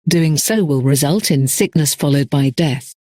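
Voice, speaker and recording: Higher-pitched man reading emergency announcements. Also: normal speed, a little mellow, no room sound.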